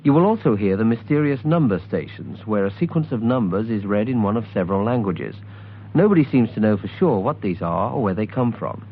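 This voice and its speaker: A man reading scripted instructional narration in a measured, precisely enunciated way, as the presenter of a tutorial album. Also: lowish voice, expressive, slight background noise. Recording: tinny, band-limited 1979 album recording.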